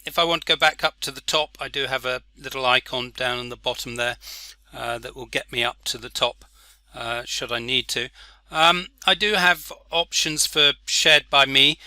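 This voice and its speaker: A man casually walking through a software interface, with frequent "uh" and "um" fillers. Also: mid-range voice, dry recording. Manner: relaxed, many "uh" and "um" fillers. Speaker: software demonstrator. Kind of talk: screen-recorded software walkthrough.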